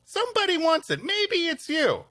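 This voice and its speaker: Man putting on a talking Thanksgiving dinner, folksy, over-familiar, bright, swooping pitch, comic podcast skit. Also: wildly swooping pitch, normal speed, dry recording, studio quality, full character voice. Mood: earnest, pleading. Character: chummy talking Thanksgiving dinner giving public service announcements.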